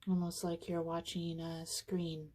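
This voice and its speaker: A woman leading a guided meditation in an informal, conversational way, with soothing, casual phrasing and frequent pauses. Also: fairly low pitch, completely flat delivery, darkish tone.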